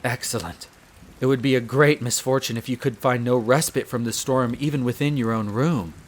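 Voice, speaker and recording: A man's voice: mid-range voice, steady tone, normal speed, lightly acted. A man playing a courteous, devoted head servant of a vampire castle in a roleplay audio drama, speaking with formal, polite diction. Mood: courteous, concerned. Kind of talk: scripted roleplay audio drama, addressing the listener.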